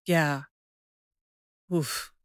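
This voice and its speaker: A woman's voice: very slow, dry recording, clean studio sound. A woman speaking casually and conversationally as a podcast interviewer.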